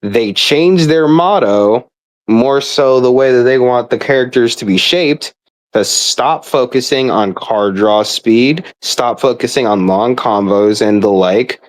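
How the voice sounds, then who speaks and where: A man's voice: medium pitch, unhurried, poor audio. A young man rambling casually in an exasperated, emphatic way, swearing often as he complains like a gamer venting. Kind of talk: casual rambling rant about a video game.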